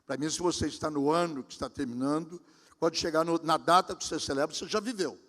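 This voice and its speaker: A man's voice: fairly high pitch, quick pace, fairly bright tone. A pastor in his sixties giving a sermon, talking conversationally and speaking directly to his congregation. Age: 60s. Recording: long hall reverb.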